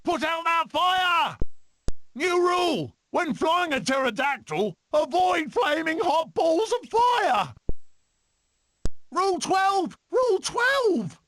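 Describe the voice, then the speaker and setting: A man voicing a cocky, excitable furry adventurer animal in a video game, with a theatrical, exclamatory delivery. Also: very high-pitched, slow pace, dry recording, full character voice. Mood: frantic alarm.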